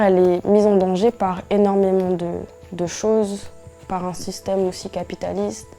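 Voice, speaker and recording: Young woman from french guiana talking earnestly, mellow and even, faint music underneath. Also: mid-range voice. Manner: earnest, measured, with frequent restarts and hesitations. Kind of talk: interview testimony.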